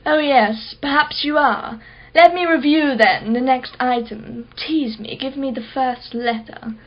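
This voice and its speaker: A woman's voice: high voice, measured pace, highs cut off. A woman playing a wealthy, sarcastic customer in a radio play, with a wordy, theatrical way of talking. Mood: haughty, teasing.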